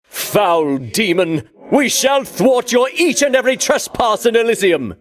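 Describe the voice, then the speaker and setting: A man's voice: high-pitched, big pitch swings, measured pace. An actor playing a pompous, vainglorious Greek champion in a video game, disdainful of his foes, speaking in grandiloquent, archaic phrases with theatrical delivery. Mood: haughty, taunting.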